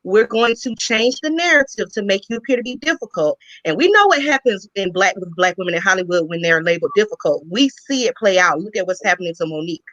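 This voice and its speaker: Woman guest, earnest and emphatic, bright tense tone, dull lo-fi audio. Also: mid-range voice, lively intonation, fast, hardly any pauses, no echo. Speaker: guest commentator. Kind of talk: impassioned commentary.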